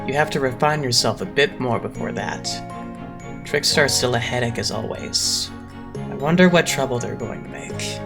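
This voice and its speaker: A man acting a poised, condescending young idol leader in an anime-style dub, measured and theatrical. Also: medium pitch, gravelly, music in the background, natural voice. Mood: calm, condescending.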